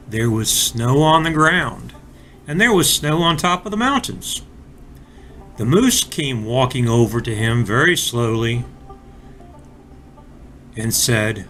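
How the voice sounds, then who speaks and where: A man reading a storybook aloud for young children, deliberate and expressive, speaking directly to his listeners. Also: mid-range voice. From North Carolina, United States. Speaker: storybook reader for children, school resource officer.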